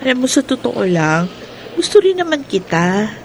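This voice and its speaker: A young Filipino sweetheart in a radio drama. She speaks in an earnest, hesitant way, her voice a little high, with faint ambience behind her. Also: big pitch swings, moderate pace, a little hiss or hum. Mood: shy, hesitant.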